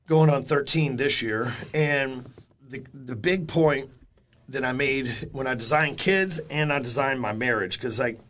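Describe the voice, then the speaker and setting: A man in his forties talks as a guest in a relaxed interview. His voice sits at an ordinary middle pitch. He speaks bluntly and candidly, with plenty of swearing as he tells his stories. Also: a little slow. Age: 40s. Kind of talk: casual interview storytelling.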